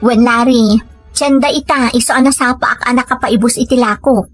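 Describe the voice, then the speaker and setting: A woman's voice: a little high, fairly even intonation, fairly quick, faint music behind, lightly acted. A Filipino woman acting a hardworking village family woman in an Ilocano audio comedy drama. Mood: earnest, concerned.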